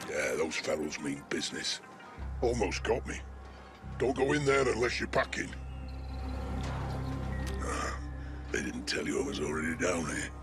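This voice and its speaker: A man's voice: low-pitched, exaggerated voice. A man playing a wounded, weary, foul-mouthed technician in a video game, talking in a rambling, slangy grumble. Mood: wary, then bitter, grumbling. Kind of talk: video game character dialogue.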